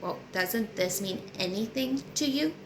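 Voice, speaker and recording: A woman's voice: mid-range voice, animated, measured pace, natural voice. A woman voicing a guarded, uneasy fireman of about thirty from a novel, defensive and dismissive, in an audiobook reading. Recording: roomy echo with clear background noise.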